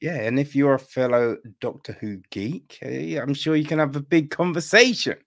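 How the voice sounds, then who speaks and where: A man's voice: medium pitch, normal speed, gravelly. A middle-aged man hosting an informal podcast interview over a video call, chatting in a casual way. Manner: informal, calls guest "man". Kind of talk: casual chat. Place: room at home, indoors.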